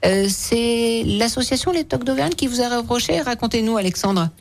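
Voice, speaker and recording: A woman hosting a radio show in a lively, conversational interview style, chatty and teasing with guests. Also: on the high side, moderate pace.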